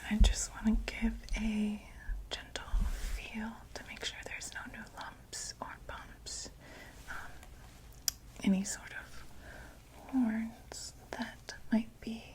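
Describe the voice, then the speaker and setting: A woman playing a gentle vampire doctor in a whispered ASMR medical roleplay, reassuring and a little hesitant. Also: medium pitch, little pitch movement, takes it slowly, natural voice, rough recording. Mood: calm, reassuring. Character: gentle female vampire doctor examining a patient.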